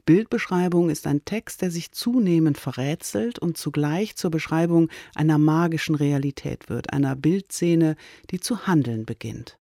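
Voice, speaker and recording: A man's voice: on the high side, moderate pace, creaky, hardly any pauses, dark tone, dry recording. A man hosting a radio drama programme, reading a scripted introduction.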